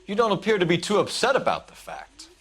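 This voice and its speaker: An actor playing a stern, righteous 1940s American police detective in a video game, with clipped, accusing questions. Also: male voice, high-pitched, huge pitch swings, fast, fairly bright tone, slightly rough, full character voice. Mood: probing, skeptical. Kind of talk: dramatic video game acting.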